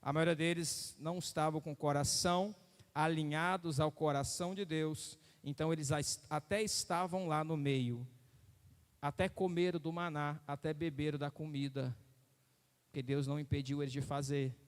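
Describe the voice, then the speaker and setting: A pastor preaches with emphatic repetition, pressing his listeners again and again to pay attention. His voice sits a little high for a man. It rings out in a hall with a long reverb trailing after each phrase. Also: steady tone, normal speed. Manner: emphatic preaching, repeating phrases, asking the crowd if they follow. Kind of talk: sermon.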